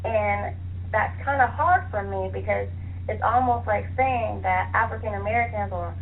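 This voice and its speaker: A woman's voice: mid-range voice, normal speed. A woman being interviewed as an author, chatting in an enthusiastic, conversational way over a phone line. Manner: chatty, enthusiastic, laughs easily. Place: phone call to internet radio show. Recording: tinny phone line with clear hiss.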